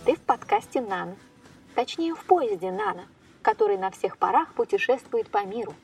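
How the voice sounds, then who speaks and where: Woman as an animated, high-voiced storybook train heroine. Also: moderate pace, creaky, far from the mic, faint music behind. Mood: cheerful, welcoming. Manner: animated, exclamatory, playful. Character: lively woman turned into a train, searching for a lost book. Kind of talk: scripted children's fairy-tale podcast acting.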